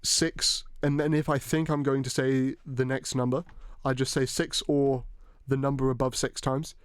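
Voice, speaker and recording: A man's voice: mid-range voice, level tone, clean tone, dry recording, clean studio sound. A young man with a British accent, hosting a casual podcast chat, rambling and swearing loosely. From Hong Kong. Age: young adult. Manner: rambling, swearing, quick laughs. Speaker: podcast host.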